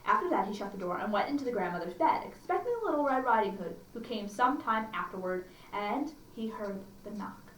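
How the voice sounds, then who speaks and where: A woman's voice: mid-range voice, lively intonation, a little fast, few pauses, a bit bright, slight background noise. A woman hosting a children's storytime, reading aloud expressively and adding chatty, questioning asides to her listeners. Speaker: library storytime host. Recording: echoey room, slightly distant mic.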